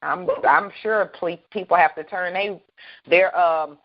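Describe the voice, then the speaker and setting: A woman hosts a faith talk show with a loud, booming voice and a bold, animated way of talking. Her voice sits a little low, and the recording sounds dull and lo-fi. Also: unhurried, vocal fry. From Southern United States. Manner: bold, animated, laughs often. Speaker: radio show host.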